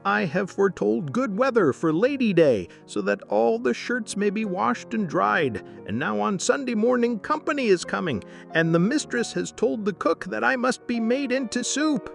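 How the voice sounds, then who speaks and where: A man voicing a loud, indignant, doomed rooster in a storybook tale. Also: sits high, big pitch swings, normal speed, gravelly, hardly any pauses, faint music behind, voice pushed up, full character voice. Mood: distressed, indignant. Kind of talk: storybook character voice.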